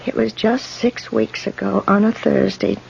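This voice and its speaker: A woman's voice: mid-range voice, level tone, measured pace, gravelly, darkish tone, highs cut off, a little hiss or hum. An actress playing an anxious, easily frightened landlady in old-time radio drama, hesitant and stammering, on a vintage recording. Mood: nervous, suspicious. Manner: hesitant, stammering, breathlessly fretful.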